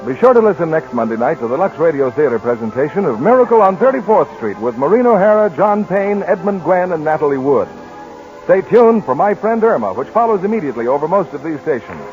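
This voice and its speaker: A man announcing credits and next week's program on a 1940s radio network, crisp and emphatic. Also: fairly high pitch, big pitch swings, quick pace. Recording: tinny 1940s radio broadcast with music.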